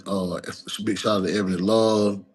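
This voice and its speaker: Deep-voiced guy, talks slow and halting, lots of ums and restarts. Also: fairly even intonation, breathy edge. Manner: halting, many fillers and restarts. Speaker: guest, rapper. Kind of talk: casual interview talk.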